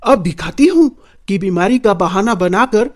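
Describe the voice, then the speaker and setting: A woman's voice: medium pitch, animated, normal speed, darkish tone, lightly acted. A woman playing a scheming, nosy older mother-in-law in a theatrical, complaining cartoon family drama style. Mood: gloating, scheming. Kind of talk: animated family drama acting.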